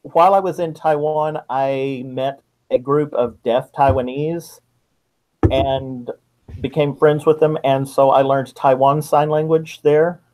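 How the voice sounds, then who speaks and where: A middle-aged man answering interview questions as a guest author, in a measured, reflective manner. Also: medium pitch, fairly even intonation, darkish tone, low-quality recording. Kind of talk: interview answers about writing.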